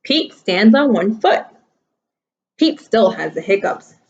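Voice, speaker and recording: A woman teacher reading a picture book aloud to young children in a deliberate, sing-song storytelling manner. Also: medium pitch, big pitch swings, a little mellow. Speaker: primary school teacher. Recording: slightly dull, lo-fi sound.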